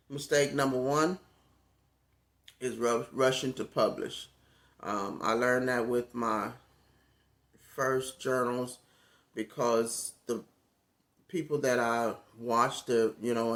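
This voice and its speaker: A man with a raspy, gravelly voice talks casually into his phone as a content creator. He rambles in a relaxed way, full of fillers, at an everyday middle pitch. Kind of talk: casual talk to camera.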